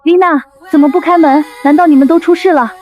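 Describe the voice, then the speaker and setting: Woman voicing a high, pleading, deceitful impostor. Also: steady tone, quick pace, music in the background, lightly acted. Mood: feigned anguish. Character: deceitful cat monster mimicking a young woman, pleading. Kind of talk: Chinese animated drama dubbing.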